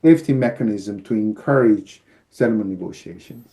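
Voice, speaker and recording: A man's voice: fairly low pitch, a little fast, mellow, poor audio. A man in his fifties from the United States, a guest arbitrator explaining his field over a video call from an office, with frequent fillers. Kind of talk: explanatory interview answers. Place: office with shelves, indoors. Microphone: video call webcam.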